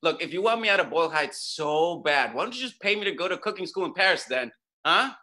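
A man's voice: a little high, big pitch swings, quick pace, bright, forceful tone. A man in his thirties playing a hot-headed, wounded young chef in a naturalistic table read, slangy and exasperated. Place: room at home, video call.

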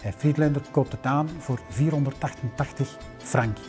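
A man in his fifties with a Flemish accent, a museum director recounting a collector's history in a documentary interview, unhurried and storytelling, with occasional "eh" fillers, on a lapel mic in a library room. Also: mid-range voice, over music.

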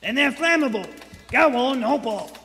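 An actor playing a brash, pushy street hawker and conductor in a live radio play, with showy, bossy patter. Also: male voice, extremely high pitch, lively intonation, moderate pace, bright, forceful tone, faint music behind, heavily acted. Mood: officious. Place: theater stage before a live audience. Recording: live theater hall, from stage.

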